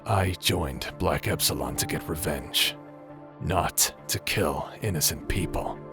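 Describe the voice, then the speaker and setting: Man acting a deep-voiced, weary, guilt-ridden space pirate. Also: slow pace, music in the background, heavily acted. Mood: guarded, remorseful. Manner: hesitant, wry, subdued. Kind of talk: audio drama acting.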